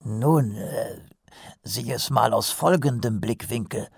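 A man voicing a shifty, cowardly little city watchman in an audiobook, with a raspy, croaky voice and a hesitant, wheedling manner. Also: mid-range voice, slow pace, no room sound, clean studio sound, voice pushed up, full character voice. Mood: curious, musing. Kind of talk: audiobook character voice.

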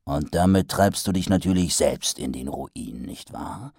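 A man voicing a weary, gloomy male watch captain who speaks slowly with dry sarcasm. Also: low-pitched, a little mellow, slightly rough, dry recording, voice pushed down, heavily acted. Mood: dry skeptical. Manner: slow, dry and sardonic.

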